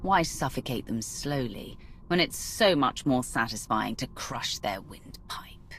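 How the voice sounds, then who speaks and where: A battle-hardened female commander for a video game, low in pitch and dryly menacing. She sounds smug and ruthless, with a faint bed of noise behind her. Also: lively intonation, a little slow, a bit bright, exaggerated voice. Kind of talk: video game cutscene acting.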